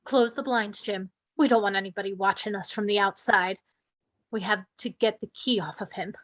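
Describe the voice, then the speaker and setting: A woman's voice: medium pitch, fairly even intonation, fairly bright tone, lightly acted. A woman playing an indignant widowed mother in a radio play reading, scolding and determined. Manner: brisk-minded, scolding, determined.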